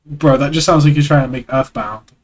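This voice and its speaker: A man's voice: fairly high pitch, a little fast. Stuffy-nosed man rambling on stream. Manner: rambling, self-deprecating, swears casually. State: congested, stuffy nose. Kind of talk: casual live-stream reading of a webcomic aloud. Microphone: external desk mic.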